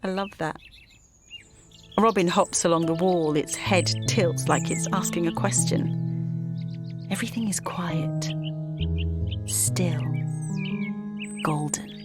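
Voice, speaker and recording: A woman's voice: fairly low pitch, slow, long pauses, loud music behind the voice. An actress playing a wistful, reflective woman from small-town Essex, delivering a first-person audio drama monologue. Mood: reflective, quiet contentment.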